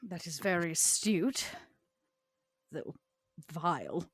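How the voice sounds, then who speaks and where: A woman playing a proud, shrewd, long-lived vampire enforcer in tabletop roleplay, with a theatrical, deliberate and cutting delivery. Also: on the low side, expressive, husky, heavily acted. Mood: conspiratorial, coaxing.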